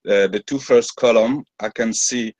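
A man's voice: mid-range voice, steady tone, moderate pace, darkish tone, no echo. A man with a French accent, whose first language is French, hesitantly describing hieroglyphs in an online class, searching for words with many restarts. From France. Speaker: class member.

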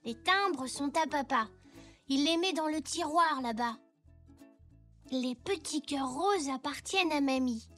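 A little zebra boy in a kids cartoon, super high voice, eager and cheery, bit of music under. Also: unhurried, a bit bright. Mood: cheerful. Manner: eager, childlike. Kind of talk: French children's cartoon dialogue.

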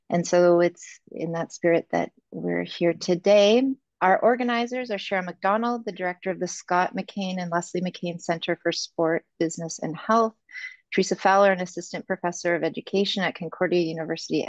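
A woman host and professor giving a measured formal welcome, reading from notes on a video call. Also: on the low side, dry recording. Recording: dull dry video call audio.

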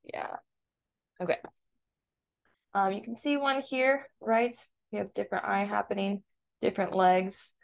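A woman with a creaky voice, an art teacher casually explaining software step by step in a tutorial voice-over. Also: medium pitch, a little slow, pauses often. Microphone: screen-recording voice-over. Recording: dull, lo-fi voice-over.

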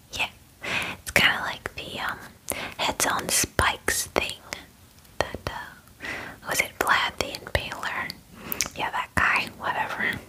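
Slow whispering young woman, ASMR. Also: rough recording. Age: 20s. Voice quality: soft, breathy whisper. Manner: gentle, unhurried, casual and chatty. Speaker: ASMR roleplayer playing a werewolf spa groomer. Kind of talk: whispered ASMR roleplay, personal attention. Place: small dim room indoors. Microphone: close microphone.

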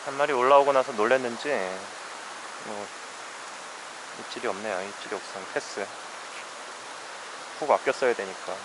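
A man's voice: mid-range voice, normal speed, pauses often. Guy fishing a shallow creek, mumbling to himself kinda dry and tired, helmet cam, water noise behind. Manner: muttering to himself, wry and weary. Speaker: fly fishing vlogger. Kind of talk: casual first-person fishing narration. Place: outdoors by a shallow mountain stream. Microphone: head-mounted action camera. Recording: outdoor stream noise behind.

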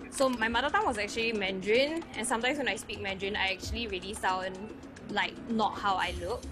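A woman's voice: mid-range voice, normal speed, over music. A young woman cheerfully answering an interview question.

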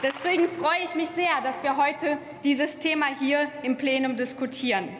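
A woman's voice: high-pitched, fairly bright tone. A young woman gives a formal, earnest prepared speech in parliament, speaking at a lectern microphone. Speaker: member of parliament and government commissioner. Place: large parliament chamber. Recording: roomy, echoing hall.

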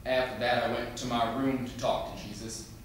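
A man playing a haunted, unsettling man who narrates a theatrical monologue in a deliberate, ominous manner. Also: mid-range voice, little pitch movement, natural voice. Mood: confiding, haunted. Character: haunted, unsettling Southern man recounting his childhood. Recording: very echoey room, distant mic, faint noise.

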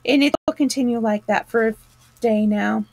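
Storybook water rat played by a woman, male, gruff-tempered, brisk and scolding, raised pitch, close dry sound. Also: darkish tone, no echo. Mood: stern, firm.

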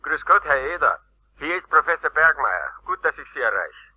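A man's voice: on the high side, very animated, normal speed, background noise. An actor playing a polite, businesslike male professor, a customer on the telephone in a radio play. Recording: dull, telephone-like cassette audio.

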